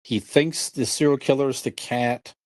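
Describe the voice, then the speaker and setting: A man's voice: medium pitch, steady tone, moderate pace, dry recording. A man co-hosting a podcast in casual chat. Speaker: podcast co-host.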